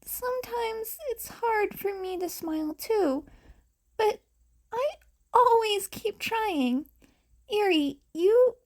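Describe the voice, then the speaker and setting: Woman acting teenage boy vigilante, high voice, earnest, halting, soft-hearted, unhurried, fiction reading. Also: exaggerated voice.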